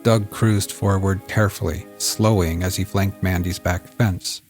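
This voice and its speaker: A man reading scripted crime fiction in a measured, audiobook-style storytelling delivery. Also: low voice, fairly even intonation, a little mellow. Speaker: narrator of a serialized crime story. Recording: music bed under the voice. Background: music.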